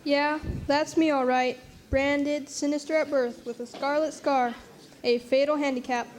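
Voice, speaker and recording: An actress playing a sardonic, wry orphan child in a radio drama monologue. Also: female voice, high-pitched, fairly even intonation, unhurried, a little hiss or hum. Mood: bitter, sardonic.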